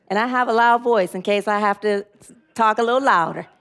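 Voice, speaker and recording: A woman's voice: medium pitch, a little fast, fairly bright tone. A woman preaching a sermon, rambling a little and leading call-and-response affirmations.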